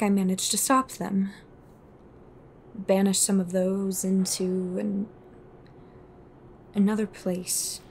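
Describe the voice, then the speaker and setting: Actress as haunted, secretive woman for audio drama: cryptic, grave, unhurried delivery. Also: mid-range voice, a little mellow, slight background noise. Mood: haunted, resolute. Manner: cryptic, slow, deliberate, sighing. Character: haunted, secretive woman fighting a sinister occult group. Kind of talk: audio roleplay drama acting.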